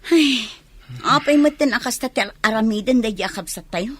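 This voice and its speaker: Actress playing a shrill, indignant Ilocano village woman. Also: moderate pace, fairly bright tone, slightly rough, no echo. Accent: Filipino, Ilocano. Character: shocked, scolding Filipino village woman. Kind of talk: Ilocano radio comedy drama acting.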